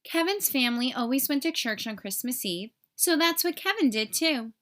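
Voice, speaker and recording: A woman narrating a children's story aloud in an expressive, clearly enunciated storytelling manner. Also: high-pitched, moderate pace. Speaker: storybook reader.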